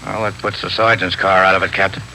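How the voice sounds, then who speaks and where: Deep, hard-edged police lieutenant. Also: male voice, flat delivery, fast talker, creaky, sharp tone, heavily acted. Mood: businesslike, probing. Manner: businesslike, inquisitive. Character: police lieutenant assisting an inquiry, brisk and businesslike. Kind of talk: 1950s radio drama acting. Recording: faintly noisy 1950s radio broadcast.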